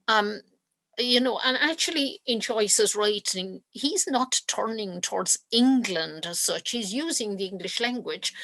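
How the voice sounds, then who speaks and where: A woman gives a literature lecture over a video call, thinking aloud with many ums and restarts. Her voice sits at a middle pitch. The computer mic sound is dry and close. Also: a bit bright, no room sound. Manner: thoughtful, with frequent ums and restarts. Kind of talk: online lecture with slides.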